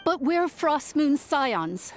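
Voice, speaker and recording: A woman's voice: sits high, sing-song, takes it slowly, a little hiss or hum, heavily acted. A woman playing an anxious, devout mother in a video game, earnest, emotional and pleading. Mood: distrustful, pleading.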